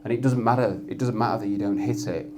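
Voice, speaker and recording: A man with a Yorkshire accent and a loud voice, speaking as a guest on a live industry panel and often restarting his sentences as he thinks. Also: on the low side, quick pace, noisy background. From Yorkshire, England. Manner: thoughtful, with frequent restarts and repeated words. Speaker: head of media effectiveness, guest. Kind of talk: panel discussion at an industry event.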